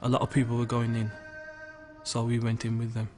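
A man's voice: mid-range voice, completely flat delivery, fairly quick, music in the background. A man, recounting events as an interviewee. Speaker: documentary interviewee. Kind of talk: recollecting events.

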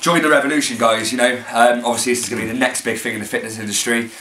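A young British man on a living-room sofa, doing a mock-serious sales pitch with crude jokes for a comedy vlog. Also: steady tone, racing, bright, forceful tone. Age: young adult. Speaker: vlogger. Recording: roomy with faint noise.

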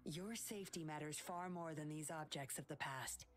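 A woman's voice: deep voice, moderate pace, a bit bright, soft background music. An actress playing a composed, commanding but caring woman in an English video game, giving clipped orders. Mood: composed, firm. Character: cool, commanding red-haired woman, firm but caring.

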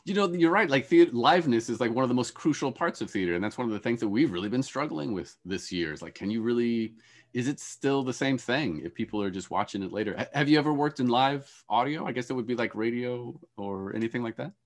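A man's voice: mid-range voice, lively intonation, fast. A middle-aged man casually moderating a discussion over a video call. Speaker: interview host, academic. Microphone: wired earphones on a video call.

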